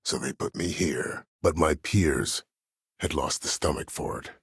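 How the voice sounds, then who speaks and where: Game villain: disgraced old military schemer, extremely low husky voice, coldly self-justifying, formal and imperious. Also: male voice, no echo, studio quality, exaggerated voice. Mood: cold, righteous. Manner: measured, formal, imperious, calculating. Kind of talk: dramatic game dialogue.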